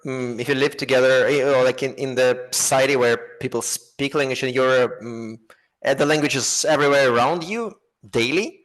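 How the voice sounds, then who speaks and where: A man chats as a podcast guest, talkative and loose, with phrases tumbling out one after another. His voice sits at an ordinary middle pitch. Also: moderate pace, a bit bright, rough recording. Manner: self-described chatterbox, talkative and loose. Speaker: guest, app co-founder. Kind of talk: casual podcast conversation.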